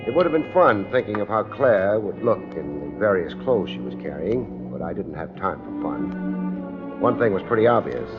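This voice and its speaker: An actor playing a wry, unflappable hard-boiled private detective in a 1950s radio drama, with a deadpan, dryly wisecracking delivery. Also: male voice, fairly low pitch, moderate pace. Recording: muffled 1950s radio recording with music.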